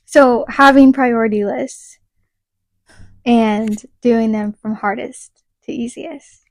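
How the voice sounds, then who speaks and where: A woman's voice: a little high, steady tone, slow pace, a little mellow, no echo. A young woman co-hosting a podcast in casual chat, chatty and steering the talk toward practical tips. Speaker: podcast co-host.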